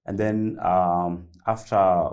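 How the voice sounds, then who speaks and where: A young man from South Sudan telling his story on a panel, halting and restarting often with many fillers. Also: low voice, fairly even intonation, a little mellow. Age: young adult. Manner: halting with many repeats and fillers, loose grammar. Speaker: panelist, youth founder. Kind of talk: panel discussion, personal story.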